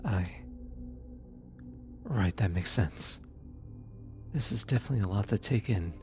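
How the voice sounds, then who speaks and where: A man playing a gentle, apologetic demon who comforts lost souls in an ASMR roleplay, speaking softly and hesitantly. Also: lowish voice, measured pace, faint music behind. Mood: apologetic, tender. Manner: soft, soothing, hesitant with stammers. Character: gentle, apologetic male demon soul collector comforting the newly dead. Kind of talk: ASMR roleplay audio drama.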